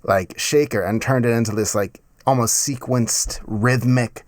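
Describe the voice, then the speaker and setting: A man in his thirties giving a casual, enthusiastic music software tutorial in a conversational way, close on a mic in a home studio. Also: medium pitch, measured pace, dry recording. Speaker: music production tutorial host. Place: small home studio, indoors.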